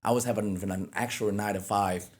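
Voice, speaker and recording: A man from Vietnam, a native Vietnamese speaker with a Vietnamese accent, talks casually and earnestly as an interview guest, often repeating himself and halting. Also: lowish voice, fairly even intonation. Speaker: interview guest, real estate agent.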